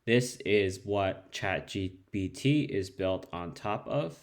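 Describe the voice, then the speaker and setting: A man's voice: sits low, fairly bright tone. A man with Spanish as his first language, casually walking through a coding demo with plenty of fillers and restarts. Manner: loose and conversational, many ums and restarts. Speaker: developer demoing code. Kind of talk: casual screen-share tutorial.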